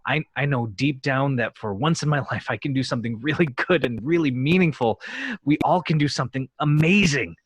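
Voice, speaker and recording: Man acting earnest starship captain, husky mid-range voice, pleading, dry close sound, table read. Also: mid-range voice, a little fast, no room sound, lightly acted. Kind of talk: amateur table read of a film script.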